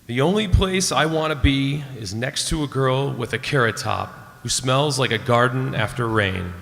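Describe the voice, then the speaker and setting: A man's voice: mid-range voice, moderate pace, gravelly, fairly bright tone. A man playing a stubborn, lovesick American naval commander in a radio play, earnest and plainspoken. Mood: defiant. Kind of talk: radio drama acting. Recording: echoey hall with long reverb.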